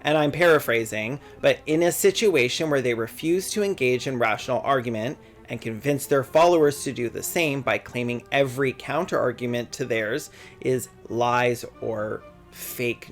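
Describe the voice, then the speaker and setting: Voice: mid-range voice, moderate pace, gravelly, soft background music. Narratore canadese di video saggi, tono sardonico e colloquiale, con qualche parolaccia. La voce ha un'altezza media e c'è una lieve musica di sottofondo. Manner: sardonic, conversational, profane. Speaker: video essayist. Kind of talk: scripted video essay narration.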